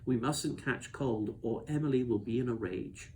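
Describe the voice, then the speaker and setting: A man's voice: mid-range voice, normal speed, warm tone, lightly acted. Man voicing an earnest young storybook girl, noisy recording. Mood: urgent.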